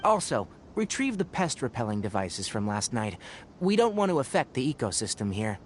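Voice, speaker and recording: An actor playing a calm, methodical expedition leader in an anime video game, speaking in a measured, formal way. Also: male voice, medium pitch, background noise, lightly acted. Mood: composed.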